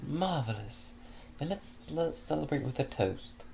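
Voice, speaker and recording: Brief: a gracious pony fashion model, lady, fond of "dearie," raised and gravelly, as a male reader puts her on. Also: on the high side, animated, measured pace, a little mellow, a little hiss or hum, pitched above the performer's own voice. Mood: cheerful. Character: elegant genteel female pony fashion model.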